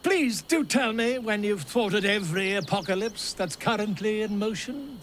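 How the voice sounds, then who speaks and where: High-voiced, theatrical scholarly chronicler. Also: male voice, expressive, moderate pace, fairly bright tone. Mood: delighted. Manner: theatrical, florid, eloquent. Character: flamboyant, excitable scholarly male author and adventure chronicler. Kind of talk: dramatic video game character acting.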